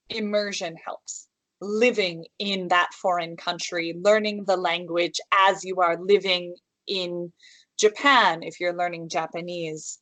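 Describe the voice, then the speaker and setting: A woman from the United States, an English teacher, speaks slowly and deliberately over a video call. Her pitch sits in the middle range, and the sound is dry and close. She phrases things carefully, often restarting and restating. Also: fairly bright tone, no room sound. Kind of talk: interview answers. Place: room at home with calligraphy on the wall.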